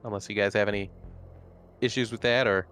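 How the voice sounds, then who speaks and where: A man's voice: on the low side, fairly even intonation, fairly quick, a bit bright, clean tone. A man playing a tabletop roleplaying game, talking casually and a little tentatively as he thinks aloud. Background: music under the voice.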